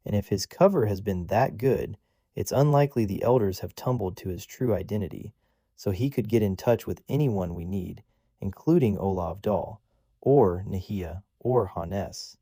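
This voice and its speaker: A man's voice: on the low side, moderate pace, warm tone, no room sound, clean studio sound. A man voicing an anxious, worried companion who thinks aloud about danger. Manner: anxious, thoughtful.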